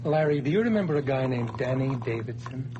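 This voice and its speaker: A man acts out a vengeful radio-play gunman with a gun in his hand, speaking cold and clipped in an even, middle-pitched voice. A faint hum sits under the old recording. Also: brisk, highs cut off, lightly acted. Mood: menacing. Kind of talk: 1980s radio drama acting.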